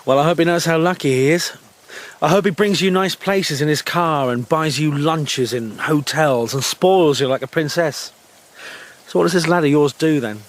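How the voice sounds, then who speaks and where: An actor playing a flattering, flirtatious travelling salesman from England in a radio drama. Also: on the high side, normal speed, lightly acted. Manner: coaxing, flattering. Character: smooth-talking, flirtatious English travelling salesman.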